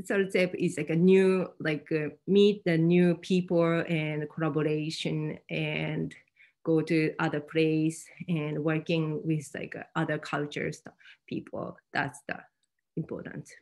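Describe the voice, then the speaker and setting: Japanese-accented woman with a creaky voice. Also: deep voice, little pitch movement, darkish tone. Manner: halting non-native English with frequent "like". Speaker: fab lab presenter. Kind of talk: informal presentation at an online meetup. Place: online video meeting.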